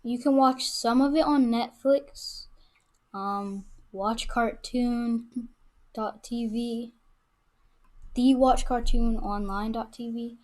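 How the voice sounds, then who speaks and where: A woman chatting casually as a podcast guest, informal and halting as she thinks aloud. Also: fairly high pitch, steady tone, darkish tone. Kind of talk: casual chat.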